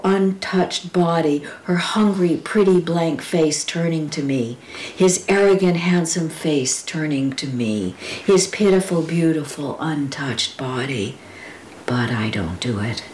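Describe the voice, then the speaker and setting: A woman reading poems and quotations aloud with informal commentary, speaking in a reflective, deliberate way with pauses and drawn-out words. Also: low-pitched, darkish tone. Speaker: poetry reader. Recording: roomy echo with clear background noise.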